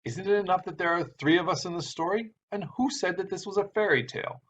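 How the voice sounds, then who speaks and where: A man's voice: high-pitched, fast talker, a bit bright, pitched above the performer's own voice. A man voicing a grumpy, sarcastic uncle who tells stories in an exasperated, declamatory way. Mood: indignant. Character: grumpy, theatrical storytelling uncle with sarcastic temper. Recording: dull sound with background hiss.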